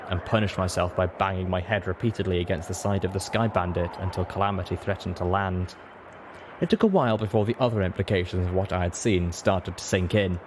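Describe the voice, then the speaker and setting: A man narrating a first-person story from a script as an audiobook reader. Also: sits low. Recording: echoey room with clear background noise.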